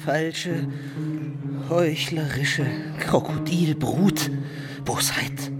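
Impassioned high-voiced robber captain over music. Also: male voice, steady tone, drawn-out words. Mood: bitter anguish. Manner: impassioned, declamatory, theatrical. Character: betrayed, embittered young nobleman turned robber captain. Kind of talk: German radio play acting. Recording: dramatic music under the voice.

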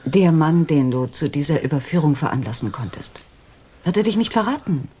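A woman's voice: deep voice, expressive, fairly quick, warm tone, a little hiss or hum. An actress playing a passionate, determined female accomplice and lover in her thirties in a German radio crime drama, intimate and intense. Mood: anxious, probing.

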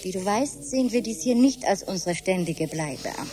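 A woman's voice: medium pitch, lively intonation, normal speed, warm tone, soft background music, lightly acted. An actress playing a genteel, snobbish middle-aged mother who panics easily, in a theatrical, haughty radio drama manner. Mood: stern, indignant. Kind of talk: German radio drama acting.

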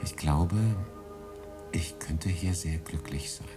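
A man playing a thoughtful, inquisitive gentleman in a radio drama, reflective and polite. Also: a bass voice, steady tone, takes it slowly, over loud music. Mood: curious, reflective.

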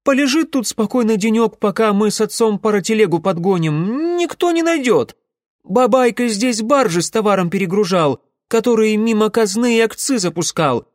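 A male narrator puts on a young merchant's son for an audiobook. He is pitched well above the reader's natural voice, anxious and servile, yet puffed up with boastful self-importance. The sound is close and dry. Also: lively intonation, moderate pace, no echo. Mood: eager, assuring. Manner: fawning, pompous, self-important. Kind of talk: audiobook character voice.

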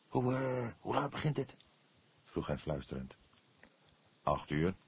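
A man's voice: sits low, darkish tone, husky. A man reading a crime novel as an audiobook narrator, in scripted storytelling style.

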